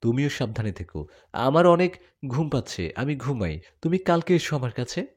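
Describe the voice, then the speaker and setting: A man voicing a gentle young woman in a spoken story. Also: medium pitch, big pitch swings, normal speed. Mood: tender.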